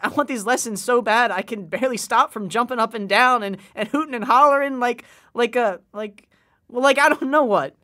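Young boy, earnest, considerate, halting, folksy speech, audio drama. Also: very high-pitched, pushed and bright. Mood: anxious, earnest. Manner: halting, folksy uneducated grammar.

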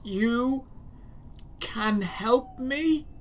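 A man voicing a desperate middle-aged male ghost who whines in slow, broken, pleading phrases. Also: very high voice, slight background noise, voice pushed up, heavily acted. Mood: desperate plea. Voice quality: whining.

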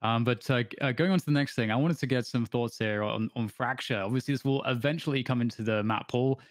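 A man hosts a video call interview, firing off rambling questions at a quick clip. The sound is dry and close, with no room around it. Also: medium pitch, no room sound. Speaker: interview host.

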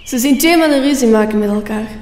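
A woman's voice: on the high side, fast, darkish tone, natural voice. A woman reading scripted narration for a radio play. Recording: echoey room, faint music.

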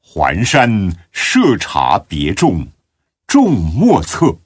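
A man with a husky voice giving a solemn, measured and dramatic narration of classical religious biography. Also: on the low side, huge pitch swings, no room sound, clean studio sound.

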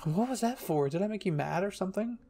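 A man's voice: sits high, lively intonation, fast talker, vocal fry, dark tone, pitched above the performer's own voice, full character voice. A man voicing a whiny, food-loving teenage girl cadet in a story reading, plaintive and pleading. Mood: whiny protest.

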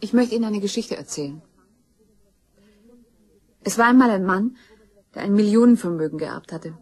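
Young female heiress: breathy-rough voice, measured, steely, radio crime play. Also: mid-range voice, expressive, a little mellow. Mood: cool, measured. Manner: measured, storytelling, unyielding. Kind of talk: German radio crime drama acting.

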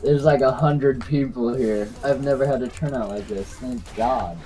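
A man's voice: mid-range voice, normal speed, mellow, slight background noise. Exasperated swearing guy gaming. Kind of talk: casual gaming chat.

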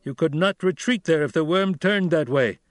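A stern desert chieftain with a harsh, commanding edge, as a male audiobook narrator voices him. He speaks a bit higher and louder than the narration, in a dry, close sound with no room around it. He is blunt and middle-aged. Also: normal speed, dry recording, lightly acted. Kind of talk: audiobook character reading.

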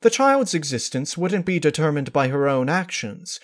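A man's voice: a little high, expressive, normal speed, voice pushed up, natural voice. A man voicing a refined female cartoon unicorn dressmaker, prim, polite and dramatic in manner. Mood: gentle, chatty.

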